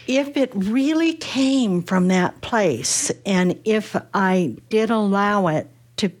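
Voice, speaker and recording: A woman hosting a radio interview, speaking in a soft, gentle, measured way. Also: mid-range voice, expressive.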